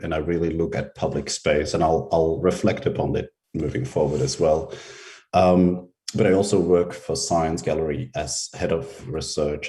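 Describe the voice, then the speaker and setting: Very deep-voiced man giving a relaxed talk. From Belgium. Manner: relaxed, hesitant with frequent ums. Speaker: researcher and symposium speaker. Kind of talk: conference presentation over video call. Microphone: video call.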